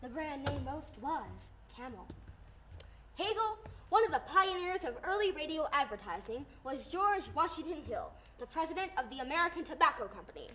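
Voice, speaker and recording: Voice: high-pitched, sing-song, normal speed, sharp tone, exaggerated voice. A young teenager playing a theatrical, folksy co-host in a scripted old-time radio show. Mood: folksy, announcing. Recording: faint background noise.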